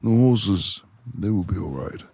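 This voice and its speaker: A man playing a gruff, reclusive lord of the manor in a romantic ASMR audio roleplay, with gravelly, formal, imperious speech and a tender streak. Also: sits low, expressive, unhurried, mellow, dry recording, full character voice. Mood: gruffly considerate. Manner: formal old-fashioned diction, imperious.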